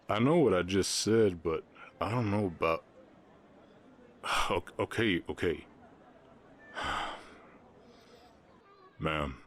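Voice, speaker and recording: Orc cowhand played by a man: extremely low-pitched Southern drawl, gentle, reserved, halting, for intimate ASMR romance. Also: slightly rough, exaggerated voice. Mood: tender, relieved. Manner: halting, sighing, earnest. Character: gentle, reserved orc ranch hand in love. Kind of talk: intimate ASMR romance roleplay, Western setting.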